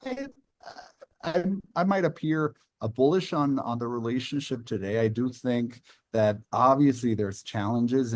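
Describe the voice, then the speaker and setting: A man's voice: mid-range voice, darkish tone, no room sound. Guy on a panel, stop-start, lots of uh's, dry sound. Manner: halting, many fillers and restarts. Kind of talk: online panel discussion.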